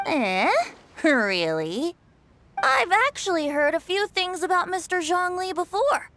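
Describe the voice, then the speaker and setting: A woman voicing a cheerful, playful young male bard with a theatrical, breezy, flattering manner in a video game. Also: very high voice, measured pace, background noise, heavily acted. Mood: flattering, amused. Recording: music behind the voice.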